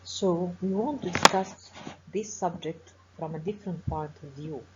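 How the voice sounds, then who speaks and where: Egyptian accent lady prof, low voice, slow careful lecture w lots of uh's, muffled webinar sound. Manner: formal, measured, with frequent pauses and fillers. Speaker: professor giving a webinar lecture. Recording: dull lo-fi webinar audio with faint hiss.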